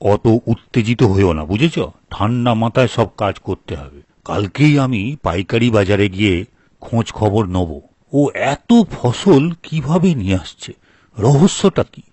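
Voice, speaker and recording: A man playing a grudging, proud elder brother from a village in a dramatic, expressive folk-tale performance, with a husky voice. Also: lowish voice, unhurried, no room sound. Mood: calculating.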